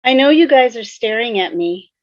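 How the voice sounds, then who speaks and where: A woman's voice: a little high, expressive, normal speed, no echo, lightly acted. A woman playing a sardonic, stubborn teenage girl, blunt and resigned to doom, in a fan table read over a dull-sounding video call. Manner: blunt, sarcastic.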